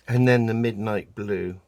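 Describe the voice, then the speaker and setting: A man from the UK with a croaky voice, chatting casually as he explains a resin craft project step by step. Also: mid-range voice, darkish tone. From United Kingdom. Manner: unhurried, chatty, talks while doing hands-on craft work. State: croaky voice, clearing throat. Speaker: resin art vlogger. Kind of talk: casual how-to narration while working.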